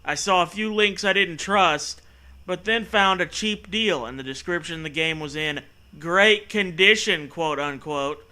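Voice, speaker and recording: High-voiced man, piercingly bright, hosting comedy: theatrical, sweary, sarcastic story reading with joking asides. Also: measured pace. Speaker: comedy show host. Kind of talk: mocking read-aloud of a story with joking asides.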